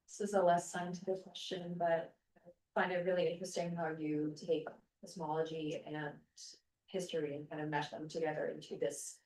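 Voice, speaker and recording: A woman's voice: low voice, little pitch movement, normal speed, a little mellow. A woman in an audience asking a question in a casual, hesitant way, leaning on filler words. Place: audience seats of a lecture hall. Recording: distant, echoey lecture room.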